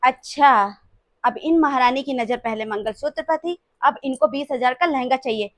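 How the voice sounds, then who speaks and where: A woman voicing a domineering older mother-in-law in a family drama skit, cutting and loud when she scolds. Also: medium pitch, steady tone, normal speed, lightly acted. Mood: scolding.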